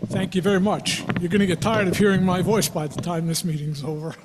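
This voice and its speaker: A man's voice: sits high, fairly even intonation, brisk, heavy background noise. A middle-aged man, a minister, telling a joke and then leading a spoken prayer at a club lunch. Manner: loud, jovial speaker. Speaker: minister giving an invocation.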